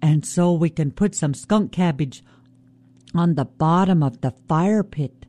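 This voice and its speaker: A woman voicing a loud, scheming male trickster raven who yells taunts and insults. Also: deep voice, unhurried, no room sound. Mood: wheedling. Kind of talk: traditional oral storytelling.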